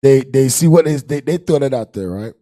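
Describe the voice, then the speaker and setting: A man's voice: medium pitch, expressive, a little fast, mellow. An American man in his thirties giving emphatic, repetitive religious commentary in colloquial speech on a panel, into a handheld mic. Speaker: panel host, religious teacher. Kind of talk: impassioned preaching and panel commentary. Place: indoor curtained studio set, panel table. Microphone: handheld microphone.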